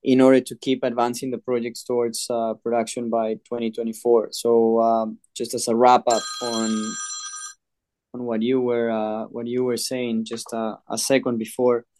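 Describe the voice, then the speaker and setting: A man, a mining company chairman answering interview questions in a measured way with frequent filler pauses. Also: mid-range voice, monotone, darkish tone, no room sound. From Peru. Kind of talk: podcast interview.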